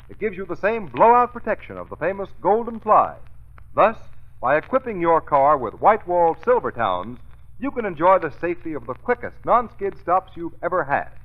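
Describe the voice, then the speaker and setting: A man announcing on vintage radio with an emphatic salesman's advertising delivery. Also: on the high side. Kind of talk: 1930s radio advertising pitch. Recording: thin, narrow-band vintage radio recording.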